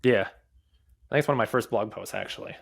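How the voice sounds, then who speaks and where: A young adult man who stutters, speaking as a podcast guest in casual talk, with frequent fillers, pauses and restarts. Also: fairly low pitch, vocal fry, slightly rough.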